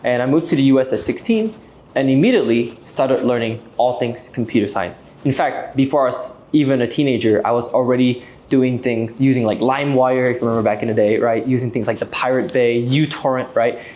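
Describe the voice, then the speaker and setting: A man around thirty from Malaysia giving an explanatory business pitch to camera, direct and persuasive, often checking in with short tags. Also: a little fast, darkish tone. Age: late 20s to early 30s. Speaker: video host and AI agency owner.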